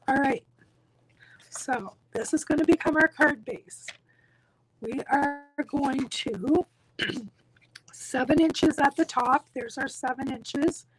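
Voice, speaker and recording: A hoarse woman with a croaky voice, walking through a craft tutorial step by step in a reassuring, casual way. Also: high voice, background noise. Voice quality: croaky, husky. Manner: reassuring, instructional. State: hoarse, losing her voice. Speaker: craft tutorial host, demonstrator.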